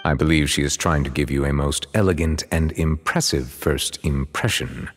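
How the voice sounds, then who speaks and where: A man voicing a dignified, reserved chief justice in a video game, speaking with courteous, measured and ornate formality. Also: very deep voice, steady tone, in a large hall, soft background music. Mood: measured, dry. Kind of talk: video game character acting.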